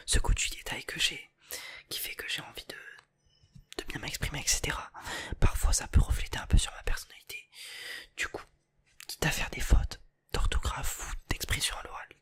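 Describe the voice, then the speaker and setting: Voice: mid-range voice, sharp tone, slightly rough. A person with a light southern French accent, whispering an informal, unscripted ASMR chat straight to the viewers. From southern France. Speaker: ASMR video creator.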